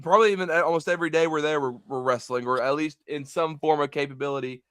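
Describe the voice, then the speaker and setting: A man's voice: on the high side, fast, fairly bright tone, smooth, dry recording, studio quality. A young-sounding man, a guest wrestler talking eagerly and rambling with plenty of "like". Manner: eager, rambling, heavy filler. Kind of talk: casual interview chat.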